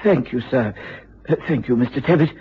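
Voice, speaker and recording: An actor playing a nervous, apologetic male manservant in a radio drama, deferential and halting, stammering over his words. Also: medium pitch, a little hiss or hum, close to an everyday voice. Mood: shaken, apologetic. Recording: muffled radio drama recording.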